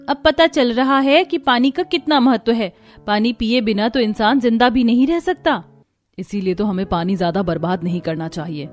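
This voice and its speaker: A woman's voice: fairly high pitch, lightly acted. A woman playing a weary village daughter-in-law in a cartoon story, lamenting earnestly. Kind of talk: Hindi cartoon story dialogue.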